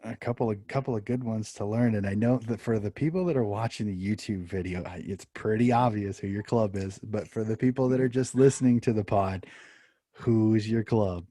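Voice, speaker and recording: A man's voice: lowish voice, brisk, mellow, no echo, clean studio sound. A man hosting a podcast interview over a video call, upbeat and stumbling over his words when he starts a question. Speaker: interview host.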